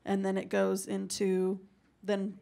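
A woman's voice: medium pitch, monotone, slow, vocal fry, mellow. A woman teacher in a workshop audience asking a hesitant question, heard from a distance. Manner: hesitant, many fillers.

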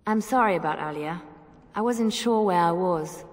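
Fantasy game role: a woman playing a wounded but resolute young bard, low register, terse. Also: moderate pace, close to an everyday voice. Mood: guarded, hurt. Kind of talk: dramatic game dialogue. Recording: faint background noise.

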